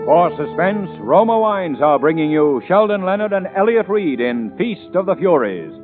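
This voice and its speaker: Man, American, dramatic radio drama announcer, animated, narrow vintage broadcast, faint music. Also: high voice, moderate pace.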